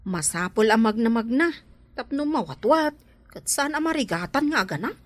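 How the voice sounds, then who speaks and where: An actress playing an older sister who gives concerned advice, in a radio drama. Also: female voice, a little high, animated, moderate pace.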